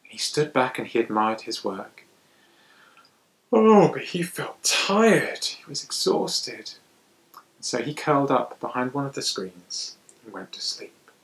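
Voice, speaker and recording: A man narrates folktales for a young audience. His delivery is theatrical and animated, moving between dramatic pauses and hushed asides. His pitch sits in a medium male range. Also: rough recording. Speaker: storyteller.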